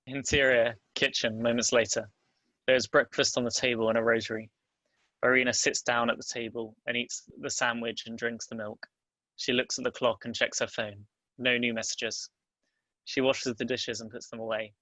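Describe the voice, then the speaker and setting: A man narrates a screenplay, reading out scene headings and action. His voice is bright and crisp in tone with fairly even pitch, recorded close and dry. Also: moderate pace, no echo, rough recording. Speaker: narrator reading stage directions.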